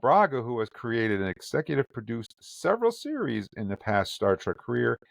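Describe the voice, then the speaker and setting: A man's voice: mid-range voice, very animated, normal speed, vocal fry, dry recording. A man hosting a fan podcast, chatting casually and reading news items aloud. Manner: chatty, reads articles aloud with quotes. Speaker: podcast host.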